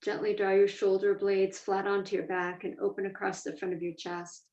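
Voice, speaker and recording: A woman teaching a guided meditation, speaking in a measured, deliberate way with pauses between phrases. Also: medium pitch, monotone, darkish tone. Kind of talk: guided meditation, slow instruction. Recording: echoey room, distant mic, dull sound.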